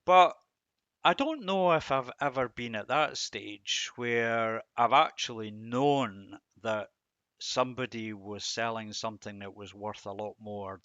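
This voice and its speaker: A man giving an informal devotional commentary on verses, musing and halting, with self-deprecating asides. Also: lowish voice, fairly bright tone, dry recording, dull audio. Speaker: host of a Bible reading series.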